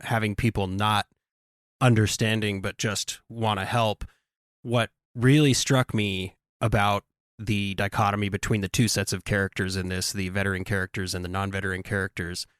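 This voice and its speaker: A man's voice: lowish voice, flat delivery, moderate pace, fairly bright tone, clean tone, no room sound, studio quality. A man co-hosting a podcast, talking earnestly and reflectively, thinking aloud as he goes. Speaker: podcast co-host. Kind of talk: casual podcast discussion.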